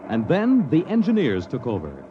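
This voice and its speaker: A man's voice: medium pitch, wildly swooping pitch, moderate pace, background noise. A man narrating as a 1950s radio announcer, in a dramatic and portentous scripted style. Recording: muffled vintage radio recording. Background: music.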